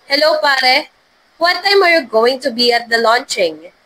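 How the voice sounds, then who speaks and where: A woman's voice: high voice, expressive, measured pace, pushed and bright, heavily acted. A woman voicing a chummy, secretive male colleague on a phone call in a dramatized story reading. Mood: breezy, chummy.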